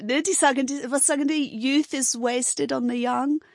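Woman, middle-aged, English accent, non-native speaker, higher-pitched, casual animated storyteller, interview guest, dry sound. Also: moderate pace, vocal fry, no echo. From England, United Kingdom.

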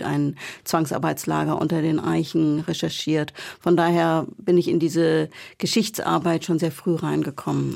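A woman's voice: deep voice, vocal fry, mellow. A woman in her fifties from West Berlin, telling her story as an interview guest in a thoughtful, reflective way.

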